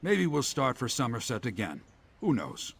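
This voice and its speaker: A musing gravelly wanderer. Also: male voice, mid-range voice, huge pitch swings, moderate pace, slight background noise. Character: weary man longing for quiet, musing. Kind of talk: video game dialogue.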